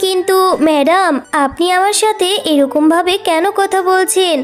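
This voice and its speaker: A woman's voice: very high-pitched, normal speed, faint music behind. A woman voicing a poor, anxious fox mother and housemaid in a children's cartoon, plaintive and pleading.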